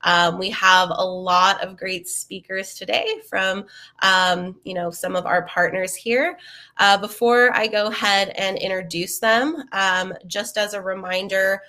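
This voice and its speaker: A young woman with a bright, forward voice hosts a live webinar, upbeat and chatty. She sounds a little sniffly from a cold and fills her talk with ums and uhs. Her pitch sits in the middle range. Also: steady tone, unhurried. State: sniffly, getting over a cold. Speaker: webinar host.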